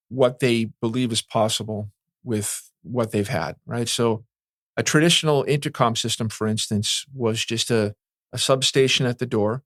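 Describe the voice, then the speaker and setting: A man's voice: mid-range voice, a bit bright, no room sound, studio quality. A man answering interview questions as an industry expert, explaining things conversationally and often checking in with "right?". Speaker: security and communications industry veteran being interviewed.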